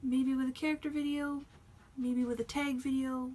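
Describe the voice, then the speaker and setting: A slightly high-voiced woman talking casually to her camera. She rambles, laughs nervously, and her voice sounds a little worn, as she is losing it. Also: flat delivery, normal speed. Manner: rambling, self-conscious, soft-spoken with laughs. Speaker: doll hobby vlogger. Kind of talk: casual vlog talk.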